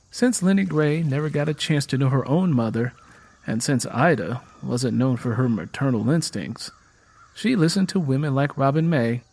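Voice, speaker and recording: A man reading scripted audio drama narration as a storyteller, in a measured, literary manner. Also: a little high, darkish tone.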